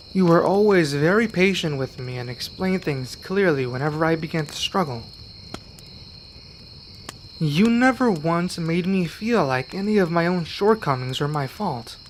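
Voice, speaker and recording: An earnest young male werewolf played by a man speaks in a slightly high voice at a slow, careful pace. He is sincere and a touch hesitant, as in an intimate ASMR fantasy roleplay. Faint cricket and insect ambience sits behind him. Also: a little hiss or hum. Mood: grateful, admiring.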